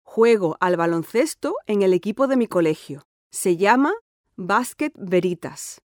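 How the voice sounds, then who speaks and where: A confident, sporty teenage girl , voiced by a woman, who talks eagerly about school and books. Her pitch sits in an ordinary middle range. The studio recording is dry and close. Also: expressive, a little slow, dry recording, close to an everyday voice. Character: cheerful sporty sixteen-year-old schoolgirl. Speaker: interviewee in an exam listening dialogue. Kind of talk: scripted dialogue for a listening test.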